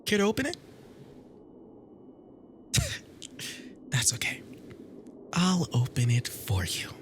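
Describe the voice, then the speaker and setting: A performer playing an obsessive, jealous, violently devoted lover in an ASMR roleplay, theatrical and swinging between breathy whispers and manic laughter. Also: mid-range voice, wildly swooping pitch, takes it slowly, a little hiss or hum. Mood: cold, menacing. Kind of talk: ASMR roleplay audio drama.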